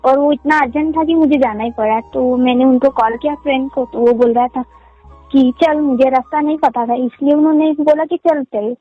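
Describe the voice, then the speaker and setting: Woman acting defensive girlfriend, young, high voice, insistent questions, phone drama, music underneath. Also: fairly quick. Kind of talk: acted phone-call argument in casual speech. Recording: muffled lo-fi call sound under music.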